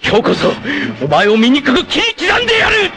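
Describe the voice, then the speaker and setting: A man's voice: wildly swooping pitch, moderate pace, crowd noise, heavily acted. A man playing a vain, narcissistic, treacherous martial-arts villain in a 1980s anime, with a haughty, theatrical, mocking delivery. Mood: shrill outrage. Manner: theatrical, haughty, mocking, erupting into shrill outrage. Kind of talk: dramatic 1980s anime voice acting.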